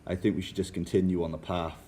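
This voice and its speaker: A man's voice: sits low, little pitch movement, quick pace, mellow, lightly acted. A man playing a nervous, suspicious young brother in an amateur radio drama. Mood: uneasy, reluctant.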